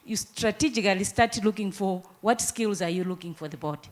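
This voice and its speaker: A woman's voice: mid-range voice, a little fast. A woman from Zimbabwe commenting from the floor at a conference into a handheld microphone, speaking earnestly and explaining at length with frequent restarts. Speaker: audience participant. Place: conference room.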